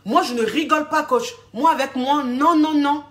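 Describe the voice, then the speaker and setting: A woman's voice: sits high, moderate pace, voice pushed up, lightly acted. A woman voicing an indignant young female client who insists and keeps repeating herself, in a relationship advice video.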